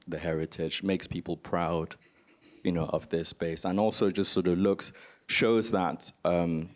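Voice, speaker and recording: A man's voice: low voice, a little mellow. A man from Nigeria giving an informal panel talk as a museum founder, telling stories and asking rhetorical questions. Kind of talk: informal spoken presentation on a conference panel.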